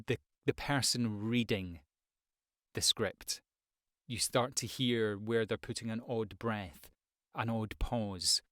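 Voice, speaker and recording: A man's voice: medium pitch, no echo. A man giving a conversational, rambling tutorial as a voice-over coach, speaking expressively close to the microphone. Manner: rambling, expressive with acted examples. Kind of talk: conversational tutorial talk to viewers.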